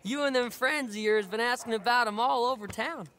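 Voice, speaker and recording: An actor playing a cocky young street gang leader who taunts a stranger with a mocking, swaggering drawl. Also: male voice, very high-pitched, brisk, sharp tone, slight background noise, full character voice. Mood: taunting.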